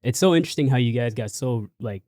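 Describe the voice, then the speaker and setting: A man hosting a casual podcast chat, relaxed and encouraging, with lots of fillers. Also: medium pitch, quick pace, no echo, clean studio sound. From Canada. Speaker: podcast host.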